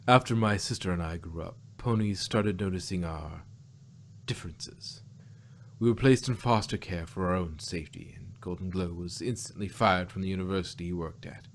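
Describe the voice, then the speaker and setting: A solemn, ancient pony queen acted by a man in a low register. She tells her tale in a measured, confiding way, as in a storybook fan-fiction reading. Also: a little hiss or hum. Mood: grave, secretive.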